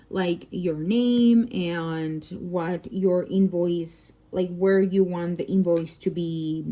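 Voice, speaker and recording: The speaker is a woman from Mexico with a Mexican accent and Spanish as her native language. Her voice is mellow and sits at an average pitch. She tells stories casually, with animated emphasis, and often breaks off to correct or interrupt herself. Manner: animated, digressive, self-interrupting. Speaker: vlogger and crafter, telling work anecdotes. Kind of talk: casual chatty storytelling.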